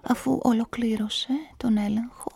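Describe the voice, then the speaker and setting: An actress narrating as a woman suspected in a crime, in a hushed, whispery inner-monologue manner, in a radio play with a music bed. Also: on the high side, flat delivery, vocal fry. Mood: anxious. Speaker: narrator and witness.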